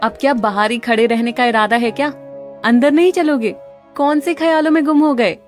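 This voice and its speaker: A woman's voice: a little high, normal speed, faint music behind, natural voice. A woman playing a confident, teasing young wife in a dramatised audio story.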